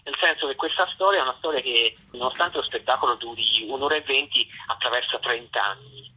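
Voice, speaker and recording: A man speaking as an interviewed theatre director, answering spontaneously over a telephone call. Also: mid-range voice. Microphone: phone call. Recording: muffled telephone line, husky distortion.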